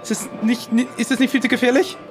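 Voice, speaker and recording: A man's voice: extremely high pitch, little pitch movement, quick pace, over music. A man playing a nervous young pirate lad who stammers and hesitates, in an improvised comic audio play. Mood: hesitant, fearful. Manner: stammering, hesitant, repeats words.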